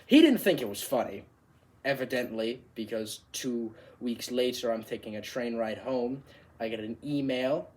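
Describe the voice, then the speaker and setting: A man performing a monologue as an animated, exasperated Irish comedian, telling a story with emphatic, theatrical delivery. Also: medium pitch, normal speed, lightly acted.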